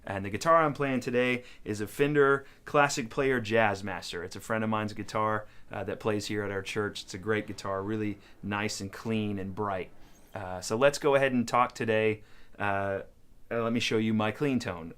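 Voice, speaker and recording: A man's voice: on the low side, normal speed, a bit bright, clear, resonant tone. A man hosting a gear demo, explaining things in an informal, conversational way as a casual tutorial. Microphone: studio microphone into audio interface.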